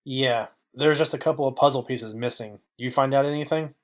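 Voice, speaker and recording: A man's voice: mid-range voice, brisk, lightly acted. A man playing a dry, wry, hardboiled private detective, narrating and questioning in film noir style. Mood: musing. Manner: deadpan, wry.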